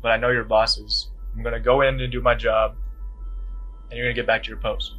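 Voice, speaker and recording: Man playing a husky, overbearing spacer. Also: medium pitch, flat delivery, a little fast, fairly bright tone, highs cut off, faint music behind, close to an everyday voice. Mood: commanding. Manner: blunt, commanding. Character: big, overbearing, confident spacer, bluntly friendly.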